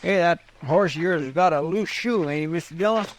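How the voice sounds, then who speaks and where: An actor playing a folksy, deferential deputy sidekick with respectful, homespun talk, in 1950s radio western style. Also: male voice, a little high, a little fast, lightly acted. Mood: chatty. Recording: noisy old radio recording.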